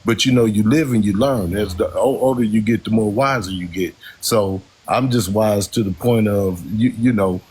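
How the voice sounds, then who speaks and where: A man of sixty on a live stream panel, speaking slowly and haltingly with many fillers and repeated words. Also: on the low side, slight background noise, poor audio. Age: 60s. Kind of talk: casual panel talk.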